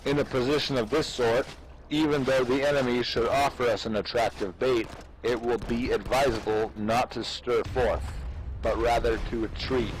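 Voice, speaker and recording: A man giving a dramatic, measured reading of an old military treatise in a formal, declamatory way. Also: medium pitch, a little hiss or hum, poor audio. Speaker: amateur reader.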